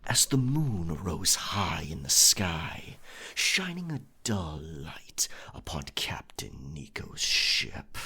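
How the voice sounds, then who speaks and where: Man voicing slow, whispery, gravelly storyteller. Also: deep voice, pushed and bright, exaggerated voice. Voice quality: breathy, gravelly half-whisper. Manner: slow, theatrical, deliberate pauses. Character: pirate captain werecat, dominant, ominous campfire storyteller. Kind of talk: pirate roleplay ASMR, scary storytelling.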